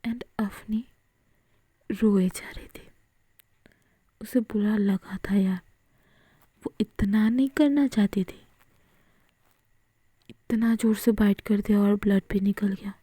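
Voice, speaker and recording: Brief: female storyteller, dark whispered voice, very dry close sound. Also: medium pitch, breathy edge, dry recording. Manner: soft whisper, slow, with frequent fillers. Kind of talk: whispered Hinglish story narration.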